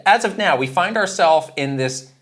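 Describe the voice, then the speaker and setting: A woman's voice: fairly low pitch, expressive, normal speed, fairly bright tone. A woman co-hosting a gaming podcast in casual chat, playful and teasing with dramatic asides. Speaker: podcast co-host.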